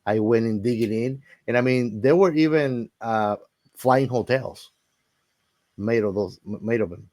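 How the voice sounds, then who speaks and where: A man hosting a casual movie discussion, haltingly thinking aloud and repeating words as he goes. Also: mid-range voice, a little mellow. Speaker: podcast host.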